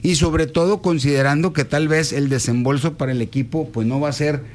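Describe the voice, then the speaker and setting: A man's voice: a little high, steady tone, a little fast, a little mellow, slight background noise. A man hosting a casual football talk show on the radio, rambling and restarting his phrases. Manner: rambling, restarts and repeats himself. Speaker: sports radio host.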